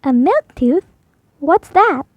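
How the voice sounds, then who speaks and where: A woman's voice: high voice, sing-song, takes it slowly, no echo, dull audio, heavily acted. A woman voicing an eager, curious little girl pig in a storybook, exclaiming and asking questions. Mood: alarmed, puzzled.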